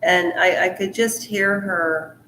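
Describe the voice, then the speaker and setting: A woman reads from a book at an unhurried, measured pace, her voice sitting a little low. She keeps breaking off into chatty, rambling asides before going back to the text. The room around her is echoey, with a faint hiss underneath. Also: fairly even intonation, sharp tone. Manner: rambling asides that interrupt the reading; slow, deliberate delivery. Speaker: channel host reading a book aloud. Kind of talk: book reading mixed with casual commentary.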